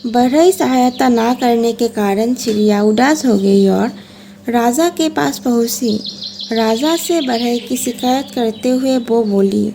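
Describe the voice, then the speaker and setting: A woman narrates a scripted children's story. Her voice is high with some creak, and she takes it at a slow pace. There is faint noise in the background. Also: dark tone.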